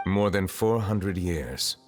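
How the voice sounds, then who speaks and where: Deep solemn ancient judge, formal n restrained, game voice, reverby hall. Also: male voice, animated, takes it slowly. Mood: reflective. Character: centuries-old male chief justice, grave, composed, formal. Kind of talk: video game voice acting. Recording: hall reverb under faint music.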